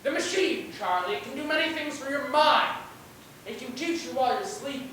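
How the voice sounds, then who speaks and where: A woman acting as a confident, formal professor, measured and explanatory, in an amateur student play. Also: high voice, expressive, a bit bright. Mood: reassuring. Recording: echoey stage, distant mic, faint hiss.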